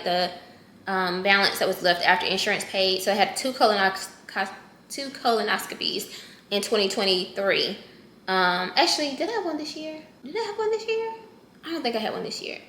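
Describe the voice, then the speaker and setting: A woman in her thirties talks through a casual check-in with a bright, forceful voice. She chats loosely, corrects herself mid-thought and speaks straight to her viewers. The sound carries a roomy echo. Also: medium pitch, slight background noise. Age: 30s. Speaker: personal finance vlogger.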